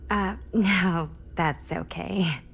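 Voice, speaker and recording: A woman's voice: lowish voice, very slow, gravelly, fairly bright tone, husky, exaggerated voice. An actress playing a polite, hesitant, plainspoken young woman in a cinematic video game. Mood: wary, polite. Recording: music under the voice.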